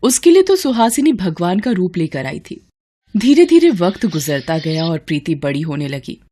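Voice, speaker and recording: A woman narrating a scripted story as a storyteller, in an expressive, dramatic manner. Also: fairly low pitch, moderate pace.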